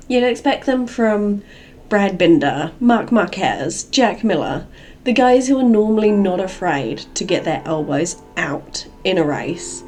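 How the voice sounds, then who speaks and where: Croaky, gravelly woman podcaster. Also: mid-range voice, dark tone, slight background noise. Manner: rambling, chatty, frank. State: croaky from a cold. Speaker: podcast host. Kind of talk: solo podcast commentary.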